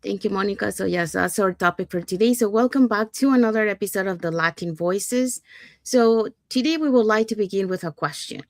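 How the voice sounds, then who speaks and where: A woman's voice: mid-range voice, a little fast, no echo, studio quality. A woman from Panama hosting a livestream panel talk, leading the conversation in an upbeat way with fillers. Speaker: host.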